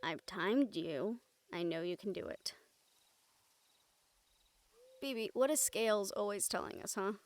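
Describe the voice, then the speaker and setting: A woman playing a wry, gentle recruiter of supernatural outcasts in an intimate audio roleplay, teasing and earnest in manner. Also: medium pitch, expressive, unhurried, pauses often. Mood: affectionate teasing.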